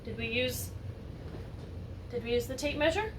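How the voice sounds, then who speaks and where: High-voiced woman reading aloud to children. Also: moderate pace. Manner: animated, asks listeners questions. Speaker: teacher reading a picture book to children. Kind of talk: expressive read-aloud story narration with asides to children. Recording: distant mic, roomy background noise.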